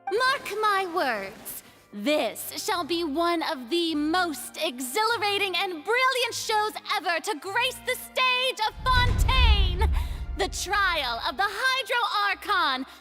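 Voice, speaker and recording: A woman's voice: extremely high pitch, big pitch swings, slow, sharp tone, exaggerated voice. An actress playing a proud, theatrical young woman archon in a video game, declaiming grandly as if on stage. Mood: grand, defiant. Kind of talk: video game character acting. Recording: music behind the voice.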